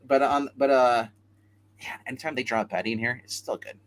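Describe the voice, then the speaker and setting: A man's voice: medium pitch, fairly quick, a bit bright. A man hosting a casual comic book chat show, talking in a chatty, slangy way. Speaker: host of a comics review show.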